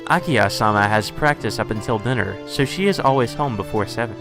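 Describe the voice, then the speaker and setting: A man voicing a polite, soft-spoken young maid in a visual novel, formal and deferential, with music behind. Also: fairly low pitch, animated, a little fast. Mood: polite, subdued.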